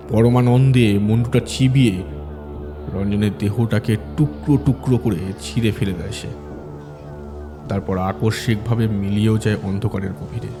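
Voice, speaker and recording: Low-voiced male horror storyteller. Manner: measured, dramatic storytelling. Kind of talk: scripted horror audio story narration.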